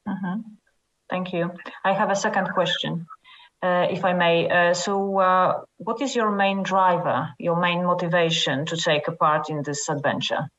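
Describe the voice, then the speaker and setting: Panel interviewer, woman, low voice, measured pace, dull call sound. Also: steady tone, a bit bright. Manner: measured, with hesitant fillers. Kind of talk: asking interview questions. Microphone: video call.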